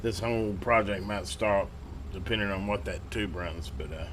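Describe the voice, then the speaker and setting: A man giving casual, unscripted workbench narration as a hobbyist, folksy and colloquial, thinking aloud with halting pauses. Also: on the low side, fairly bright tone. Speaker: hobbyist host restoring and testing old radios. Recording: clear background noise, amateur home recording.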